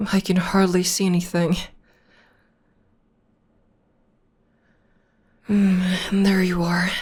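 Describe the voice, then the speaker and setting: A woman voicing a gentle young witch girlfriend, soothing her upset partner in a soft, often whispered voice close to the microphone. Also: medium pitch, slightly rough. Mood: concerned. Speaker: voice actress. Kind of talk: ASMR audio roleplay.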